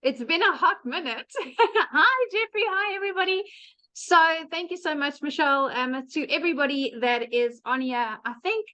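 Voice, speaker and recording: A South African woman speaks in a fairly high voice over a muffled, lo-fi video call. She tells her story in a chatty, conversational way, with plenty of "um" fillers. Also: expressive, moderate pace, sharp tone. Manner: chatty, frequent "um" fillers, laughs between phrases. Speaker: guest speaker. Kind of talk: webinar testimonial. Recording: muffled lo-fi online call audio.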